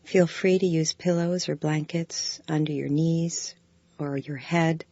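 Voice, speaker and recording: A woman guiding a body scan meditation, giving slow, soft, calm instructions. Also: low voice, no echo, dull audio. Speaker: meditation guide.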